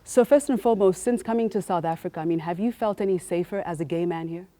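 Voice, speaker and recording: A woman hosting a televised studio debate, introducing guests and putting questions to them in a brisk way. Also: lowish voice, dark tone. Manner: brisk, leads applause. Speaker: debate host. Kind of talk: TV studio moderating.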